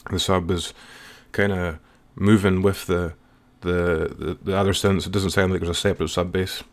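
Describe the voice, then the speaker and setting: Male music producer, low register, informal and slightly hesitant, talking through a screen-recorded software tutorial. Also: level tone, normal speed. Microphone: voice-over recorded while working at computer.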